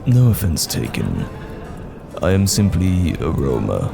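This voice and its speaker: A man acts out a roaming fantasy knight with an extremely low voice that moves expressively. He is courteous yet guarded and wry, choosing old-fashioned words with care. Music plays behind him in this audio roleplay. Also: wildly swooping pitch, measured pace, vocal fry, full character voice.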